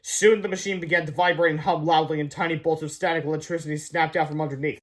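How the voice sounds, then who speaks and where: A man with a high-pitched voice narrates a children's storybook aloud. He works as a storyteller would, reading from the written text. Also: steady tone, quick pace, fairly bright tone. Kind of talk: scripted children's book narration.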